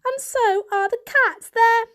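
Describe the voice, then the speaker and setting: A woman's voice: very high-pitched, takes it slowly, fairly bright tone, voice pushed up, heavily acted. A woman voicing a young male kitten, earnest, urgent and anxious to be believed, in a storybook reading.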